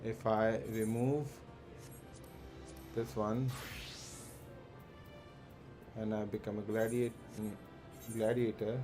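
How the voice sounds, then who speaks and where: Slow, hesitant man thinking aloud. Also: on the low side, level tone. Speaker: live streamer. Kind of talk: casual gameplay commentary. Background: faint game music.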